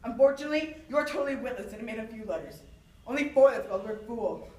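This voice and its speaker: A woman's voice: fairly high pitch, fast, mellow, close to an everyday voice. A young woman playing a proud, witty, mocking swordsman poet in a theatrical monologue, haughty and taunting as she declaims. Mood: scornful. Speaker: student actor. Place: echoey room, far from the microphone. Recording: roomy echo, distant microphone.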